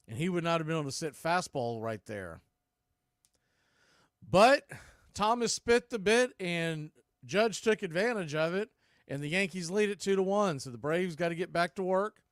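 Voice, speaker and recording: Higher-voiced man calling baseball play-by-play. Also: clear, resonant tone, dry recording, studio quality. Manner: clipped pitch calls with short phrases. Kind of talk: live radio-style play-by-play commentary.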